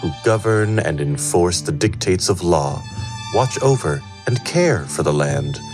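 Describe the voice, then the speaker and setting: A man performing measured, solemn scripted narration of fantasy lore. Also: low-pitched, lively intonation. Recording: music behind the narration.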